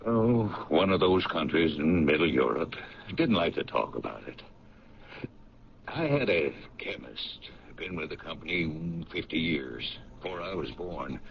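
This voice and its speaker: An actor playing a skeptical, old-fashioned company owner with an American accent in a radio drama, dry and conversational. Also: male voice, extremely low pitch, sing-song, a little slow, gravelly, heavily acted. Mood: musing, reflective. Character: plain-spoken, skeptical old-fashioned paint company owner, middle-aged. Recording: muffled, noisy vintage radio broadcast.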